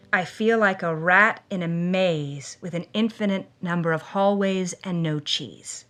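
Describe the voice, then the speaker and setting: A defiant, wounded 1950s screen siren recounting her life in an audio drama. Her voice is bright and forceful, with theatrical intensity, and music plays behind it. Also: sits low. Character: defiant, wounded 1950s film starlet telling her story. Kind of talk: dramatic first-person narration in an audio drama.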